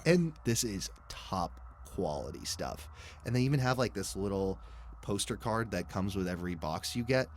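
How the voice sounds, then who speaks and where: A man's voice: lowish voice, expressive, moderate pace, a little hiss or hum. A man speaking as a podcast host in an informal, conversational sponsor read.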